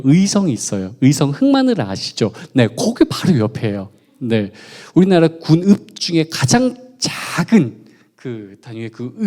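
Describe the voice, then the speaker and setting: A man's voice: medium pitch, mellow. A man preaching at a midweek church service, chatty and playful, joking with the congregation and asking them questions. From Gunwi, North Gyeongsang, South Korea. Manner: playful, chatty, jokes and quizzes the audience. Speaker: pastor. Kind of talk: sermon with congregation interaction.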